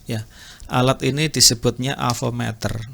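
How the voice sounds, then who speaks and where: A man's voice: medium pitch, fairly even intonation, noisy background. A man giving an informal spoken tutorial, explaining patiently and repeating himself as an instructor does. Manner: unhurried explaining with repetition and throat clearing. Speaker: audio repair instructor.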